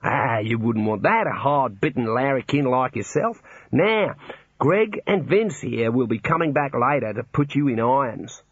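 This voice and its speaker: A man's voice: medium pitch, a little slow, husky, no room sound, dull audio. Man putting on a jovial warder. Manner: breezy, matter-of-fact about grim things. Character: cheerful older male prison warder, jovial, chatty.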